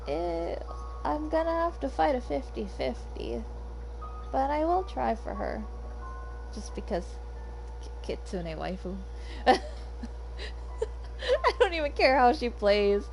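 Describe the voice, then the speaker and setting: A woman's voice: sits high, very animated, slow, gravelly, pauses often, a little mellow, poor audio. A young woman from Hawaii, a streamer chatting and giggling as she plays a video game. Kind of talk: casual gameplay commentary. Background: game music.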